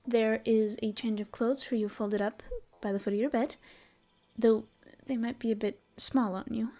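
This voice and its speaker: Casting: soothing elf ranger woman for a fantasy comfort audio drama, soft whispery delivery, slightly high voice, a woman playing her. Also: fairly even intonation, moderate pace, close to an everyday voice. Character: gentle female elf ranger, caring and shy. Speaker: voice actress. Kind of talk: audio roleplay, comfort ASMR.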